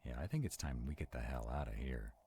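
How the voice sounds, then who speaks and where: Man playing a very deep-voiced sarcastic vampire. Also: lively intonation, fast talker, gravelly, dark tone, slight background noise, full character voice. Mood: uneasy, wry. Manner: sarcastic, conversational. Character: sarcastic male vampire, protective of a young demon companion. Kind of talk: audio roleplay acting.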